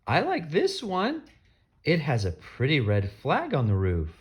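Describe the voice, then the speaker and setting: A woman's voice: low voice, huge pitch swings, measured pace, voice pushed down, exaggerated voice. A woman voicing a contented, easygoing male bird from a storybook in a simple manner, slightly muffled. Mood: hopeful. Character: happy, easygoing male bird, a devoted husband. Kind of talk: storybook character voice.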